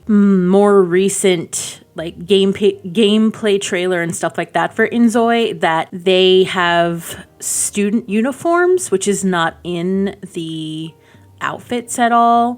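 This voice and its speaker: A woman giving casual, chatty gameplay commentary as a video host, rambling informally with frequent asides. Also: mid-range voice. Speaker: gaming video creator and host.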